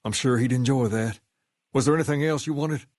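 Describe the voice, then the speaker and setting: An actor playing a suave, folksy gang leader in a video game, with a drawl and a cool swagger. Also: male voice, medium pitch, brisk, a little mellow, no room sound, studio quality, natural voice. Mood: grateful. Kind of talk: video game dialogue acting.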